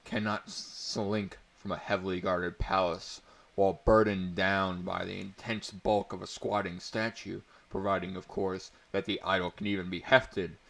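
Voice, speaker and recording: A man's voice: deep voice, vocal fry, a bit bright. A man narrating a story aloud in a portentous, mock-dramatic way, with amused asides. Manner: portentous, breaking into amused commentary.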